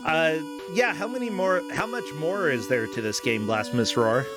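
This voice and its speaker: A man's voice: fairly high pitch, expressive, normal speed, over music. A man hosting a game tournament stream, chatting casually with a player and laughing often.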